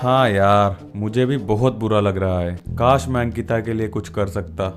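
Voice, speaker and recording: A man's voice: on the low side, normal speed, lightly acted. An actor playing an earnest, protective young man in love, in a melodramatic story drama. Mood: earnest, regretful. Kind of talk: melodramatic Hindi story dialogue.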